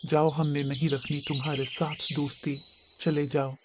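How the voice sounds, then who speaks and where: A man's voice: fairly high pitch, little pitch movement, normal speed, natural voice. A man voicing blunt, scolding birds in a cartoon fable, with an emphatic, admonishing manner. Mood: mocking, rejecting. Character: blunt, scolding male birds, crows and peacocks. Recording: clearly noisy cartoon audio track.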